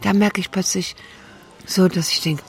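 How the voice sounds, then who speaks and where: Creaky-voiced, agreeable older woman, played by an actress. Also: on the low side, steady tone, faint music behind, close to an everyday voice. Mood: confiding, hesitant. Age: 60s. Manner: halting, agreeable, seeks confirmation with tag questions. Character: sociable widowed grandmother, agreeable, hesitant, curious. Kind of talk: improvised naturalistic radio drama dialogue.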